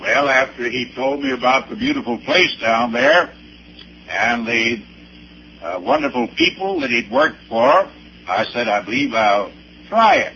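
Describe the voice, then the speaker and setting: An elderly American man, a pastor giving personal testimony in a deliberate, halting, emphatic way with a creaky voice. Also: medium pitch, sing-song, pushed and bright. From Arkansas, United States. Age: 70s or older. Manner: deliberate, halting, with emphatic spelled-out dates and places. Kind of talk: personal testimony with anecdotes, preaching delivery. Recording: dull old recording with faint noise.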